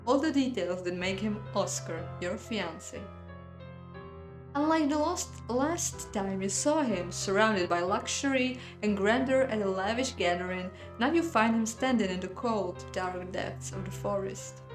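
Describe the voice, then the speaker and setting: A woman's voice: mid-range voice, lively intonation, measured pace, echoey room, over music. A woman narrating a visual novel playthrough expressively, breaking off to react and comment. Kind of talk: dramatic story narration with playful reactions.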